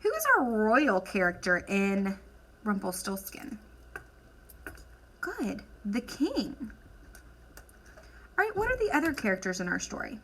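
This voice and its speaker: A woman teacher leading an instructional lesson for children, asking questions, encouraging answers and dictating deliberately as she writes. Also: medium pitch, big pitch swings, fairly bright tone, a little hiss or hum, rough recording. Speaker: elementary school teacher.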